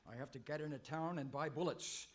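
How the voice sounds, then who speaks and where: A man's voice: fairly high pitch, fairly quick, natural voice. A man playing a flustered, sheepish masked cowboy hero in an old-time radio comedy, earnest and exasperated. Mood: fretful.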